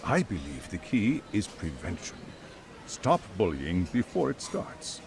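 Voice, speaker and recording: A courteous middle-aged school chairman, very animated in pitch with a creaky edge. He speaks formally and earnestly, as in a game cutscene, over faint background noise. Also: a little slow, heavily acted. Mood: earnest, rehearsed. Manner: formal, polite, verbose. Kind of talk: video game cutscene acting.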